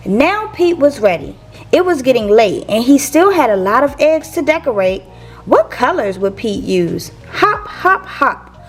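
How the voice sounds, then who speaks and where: A woman reading a children's picture book aloud in an expressive, storytelling way for young listeners. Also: high voice, measured pace, faint music behind. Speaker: teacher reading picture books for a channel.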